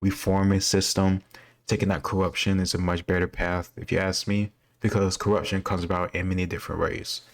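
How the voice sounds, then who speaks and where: Man, deep voice, monotone, casual video host, opinionated, thinking aloud. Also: a little fast. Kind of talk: casual off-the-cuff commentary.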